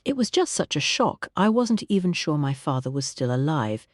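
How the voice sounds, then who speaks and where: A reader doing a shaken young hotel owner. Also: female voice, low-pitched, sing-song, moderate pace, dry recording, clean studio sound. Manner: stammering, anxious questions.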